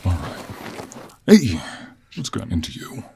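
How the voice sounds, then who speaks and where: A man playing a gruff, stubborn cowboy monster hunter in an audio role play, with an American Western accent, a folksy drawl and a husky voice. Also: wildly swooping pitch, unhurried, warm tone, a little hiss or hum, full character voice. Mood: stern, exasperated. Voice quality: husky, low.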